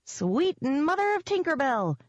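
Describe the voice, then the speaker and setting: A woman's voice: mid-range voice, very animated, takes it slowly, no echo, dull audio, voice pushed up. A woman voicing a tiny, grumpy, sarcastic male pixie sidekick in an audiobook, snappy and complaining. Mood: excited. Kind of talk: audiobook character voice.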